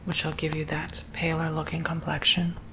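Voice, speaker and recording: A man whispering softly and gently through an ASMR roleplay, as if doing someone's makeup. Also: a little high, completely flat delivery, a little hiss or hum, low-quality recording. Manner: soft whispering, slow and gentle.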